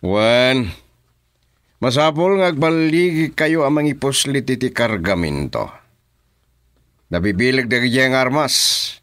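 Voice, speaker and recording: An actor with a Filipino accent playing a cool, domineering crime boss in a radio drama. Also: male voice, mid-range voice, expressive, unhurried, long pauses, fairly bright tone, no echo, clean studio sound. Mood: smooth, businesslike. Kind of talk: Ilocano radio drama acting.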